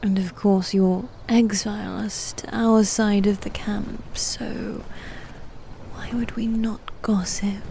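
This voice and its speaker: A haughty female demon knight, acted by a woman in an intimate ASMR roleplay, half-whispering slowly in a soft, dark tone. She is guarded and teasing, secretly fond, with halting phrases over noticeable background noise. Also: medium pitch, level tone. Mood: aloof, guarded. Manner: hushed, breathy, haughty, halting.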